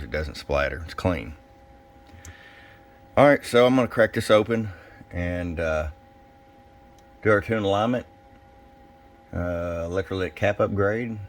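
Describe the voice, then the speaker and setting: Really deep voiced guy doing a casual hands-on demo, folksy, some workshop noise behind him. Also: a little slow, pauses often. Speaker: radio shop technician and presenter. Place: indoors at a radio workbench.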